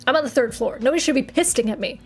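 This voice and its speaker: A woman's voice: sits high, very fast, soft background music. A young woman from Massachusetts, chatting casually with her live chat from her apartment, giggling and trailing off mid-sentence. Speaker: streamer.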